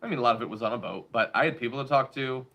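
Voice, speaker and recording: A male show host delivering opinionated news commentary in a blunt, sarcastic way. His voice sits at an ordinary middle pitch for a man. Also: racing, dull audio.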